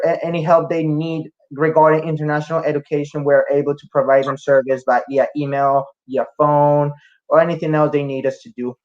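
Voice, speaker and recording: A man's voice: fairly high pitch, highs cut off. A young man from Rica, a student answering interview questions with frequent fillers and restarts. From Costa Rica. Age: young adult. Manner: hesitant, many fillers and restarts. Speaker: student guest.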